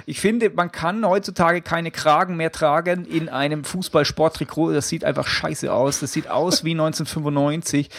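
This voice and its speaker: A young man with a fairly high voice, co-hosting a casual football podcast. He talks bluntly and swears without a second thought, his complaints coming out with mock outrage. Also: fairly even intonation, normal speed, vocal fry, few pauses, a bit bright. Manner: blunt, indignant, swears freely. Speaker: podcast co-host.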